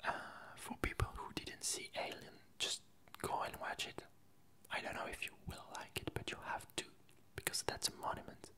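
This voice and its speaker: An ASMR creator rambling casually in a soft, breathy, unvoiced whisper, halting and unhurried while searching for words. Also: poor audio. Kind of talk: whispered ASMR ramble about games and films.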